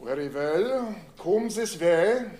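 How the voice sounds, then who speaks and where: Slow, stern in echoey room. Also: male voice, high voice, lively intonation, darkish tone. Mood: stern, indignant. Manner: slow, formal, clipped. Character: stern, pompous German businessman, easily offended.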